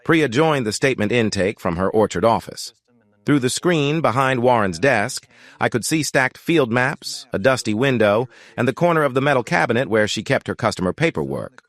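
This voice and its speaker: Guy reading a first person story, even and reflective, pitch moves around a lot. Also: moderate pace, no room sound. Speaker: narrator.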